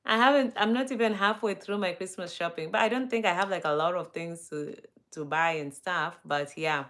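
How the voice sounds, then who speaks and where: A woman's voice: lowish voice, moderate pace, a bit bright. A woman with a Kenyan accent hosting a casual livestream, chatting easily and greeting viewers, with many fillers and restarts. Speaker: livestream host. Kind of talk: casual livestream chat reading viewer comments.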